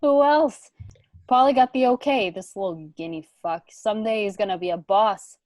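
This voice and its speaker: A woman's voice: mid-range voice, moderate pace, darkish tone, no room sound, lightly acted. A woman playing a cool, dismissive senior male gangster in an amateur script read, casual and curt. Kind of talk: amateur dramatic table read of film script.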